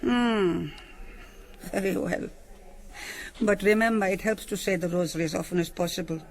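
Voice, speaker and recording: An actress playing a stern, pious Reverend Mother of a convent in a radio drama, admonishing with deliberate authority. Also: on the low side, natural voice. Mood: stern, instructing.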